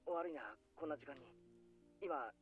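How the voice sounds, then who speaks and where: A man's voice: fairly high pitch, fast talker, narrow, tinny audio, soft background music, natural voice. A man playing a polite, boyish teenage schoolboy in a Japanese visual novel. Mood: hesitant, courteous.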